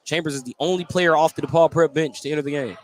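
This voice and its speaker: A man doing live basketball play-by-play for radio, in clipped descriptive phrases that lift with excitement on big plays. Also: on the high side, fast, a crowd in the background. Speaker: play-by-play radio sports announcer. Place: indoor basketball gym, courtside. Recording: noisy live gym ambience behind commentary.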